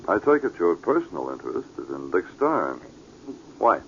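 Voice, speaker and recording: Upright Mountie sergeant, low voice, animated inflection, formal and restrained, old radio drama, muffled recording. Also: male voice, moderate pace, slight background noise. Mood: probing. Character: upright, reserved male Mountie police sergeant. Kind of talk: 1940s radio drama acting.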